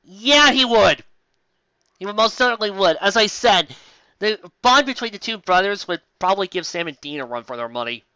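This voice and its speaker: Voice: medium pitch, expressive, normal speed, sharp tone, clear, resonant tone, no echo. An exasperated, theatrical reader giving mocking commentary on fan fiction, exclaiming in a slightly dull recording.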